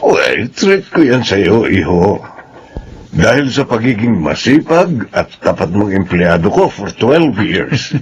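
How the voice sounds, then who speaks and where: A genial, well-off older father in a Filipino radio serial. He speaks deliberately and persuasively, his pitch moving freely, with faint noise behind him. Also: big pitch swings. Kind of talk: Filipino radio drama acting.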